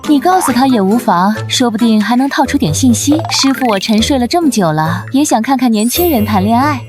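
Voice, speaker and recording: A woman's voice: on the high side, lively intonation, brisk, lightly acted. An actress playing an ancient female spirit mentor who teases and commands her pupil, over background music. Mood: teasing. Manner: teasing, commanding. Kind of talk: animated drama dubbing.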